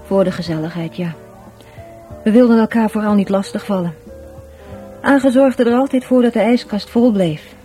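A woman's voice: mid-range voice, moderate pace, long pauses, warm tone, soft background music, natural voice. An actress playing a shy, guarded, educated woman in a radio drama, speaking hesitantly with a Dutch accent. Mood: reserved, guarded. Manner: hesitant, repeats words, evasive. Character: shy, guarded, educated sister of a dead man.

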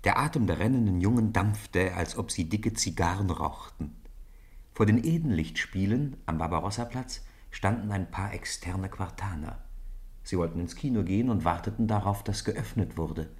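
A man's voice: deep voice, animated, normal speed, a little mellow. A man playing the storybook narrator of schoolboy adventures in a 1950s German radio play. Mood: storytelling.

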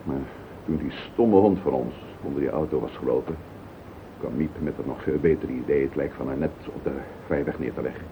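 An actor playing a callous, scheming murderer in a radio play, blunt and cynical, with a gravelly voice. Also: male voice, extremely low pitch, brisk. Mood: cold, matter-of-fact. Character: callous scheming wife-murderer confessing without remorse. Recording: tinny, noisy old radio play recording.

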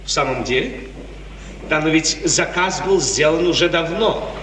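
A man's voice: a little high, normal speed, close to an everyday voice. An actor playing a restless, lovesick prince in 1950s radio theatre, musing aloud theatrically and repeating his phrases. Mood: animated, gracious. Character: restless lovesick prince of a small feudal state. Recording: old noisy recording, echoey hall, distant.

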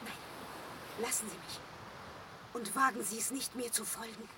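An actress playing an earnest, proud and easily wounded young singer in a German radio drama. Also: female voice, a little high, unhurried, pushed and bright, a little hiss or hum. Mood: hurt, defiant.

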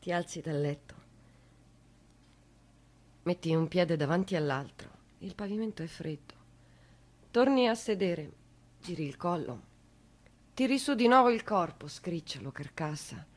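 An actress playing a grief-stricken woman in a radio theatre monologue, speaking in fragmented, repetitive phrases that move between hushed and insistent. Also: lowish voice, animated, measured pace, pauses often. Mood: numb, hushed. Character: grief-stricken woman reliving days after a loss.

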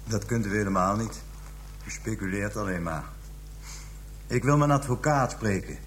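An actor playing a smug, defiant murder suspect in a radio crime drama, dismissive and insistent. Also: male voice, lowish voice, animated, normal speed, slight background noise, lightly acted. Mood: defiant, evasive.

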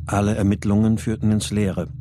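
An actor playing a brooding, grieving writer who narrates a radio drama in a subdued, introspective manner. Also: male voice, fairly low pitch, level tone, brisk, noisy background, close to an everyday voice. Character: brooding married male writer, grieving missing daughter. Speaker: narrator and lead character. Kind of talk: first-person radio drama narration and dialogue.

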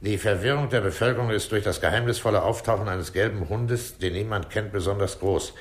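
An actor playing a gruff, commanding, unruffled police commissioner with a curt, dry delivery in a 1960s German radio crime drama. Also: male voice, on the low side, a little fast, lightly acted. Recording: roomy, echoing vintage radio play.

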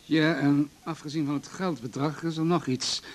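An actor playing a correct, fussy notary in a 1980s radio drama, speaking in a formal, measured and slightly pedantic way. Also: male voice, on the high side, steady tone, lightly acted. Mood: formal, unruffled.

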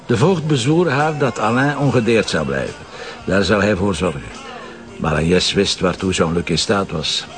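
A man's voice: on the low side, expressive, moderate pace, faint music behind, natural voice. A man with a Flemish accent playing a self-assured, teasing police commissioner in an audio drama, explaining things wryly. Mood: explaining, earnest.

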